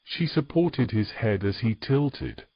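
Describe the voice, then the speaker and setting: A man's voice: mid-range voice, lively intonation, takes it slowly, no room sound, muffled recording. A man reading scripted narration as the storyteller of a fantasy audio drama.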